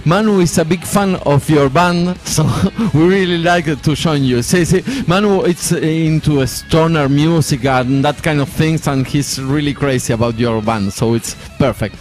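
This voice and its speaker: A man in his forties whose first language is Spanish, interviewing in halting English with frequent laughs. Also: a little high, a little mellow, faint music behind. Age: 40s. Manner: halting, laughing often, repeats words. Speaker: co-host interviewer.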